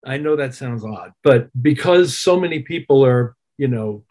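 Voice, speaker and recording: Male musician as interview guest: husky, medium-pitched voice, reflective and measured delivery. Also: lively intonation, darkish tone.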